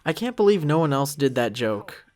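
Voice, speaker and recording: A man's voice: mid-range voice, sing-song, normal speed, darkish tone. A man giving a casual, rambling commentary track as creator of the series, thinking aloud with music behind him.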